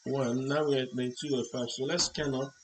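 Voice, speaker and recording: Guy doing a hands-on tech tutorial, regular pitched voice, halting step by step explaining, some noise in the background. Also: little pitch movement. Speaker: tutorial presenter. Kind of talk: hands-on electronics demonstration, unscripted.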